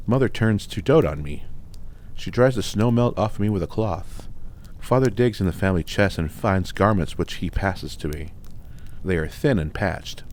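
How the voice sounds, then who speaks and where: A man reading a scripted first-person fantasy story as narrator, in measured, dramatic, deliberate phrases. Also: deep voice, darkish tone, slight background noise. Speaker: audiobook narrator.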